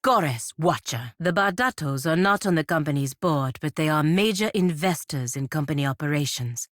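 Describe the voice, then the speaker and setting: A low-pitched stern game warrior woman, proud and dutiful. She speaks formally and with measured weight, as if every word is counsel or admonishment. The sound is dry and studio-clean. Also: lively intonation, no room sound. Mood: disapproving. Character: proud, stern warrior knight sworn to her republic, woman. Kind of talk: video game character dialogue.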